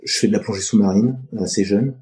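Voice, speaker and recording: A middle-aged man from France's Atlantic coast, a podcast guest talking in a halting, reflective way that often pauses mid-sentence. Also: lowish voice, mellow. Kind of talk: casual interview talk.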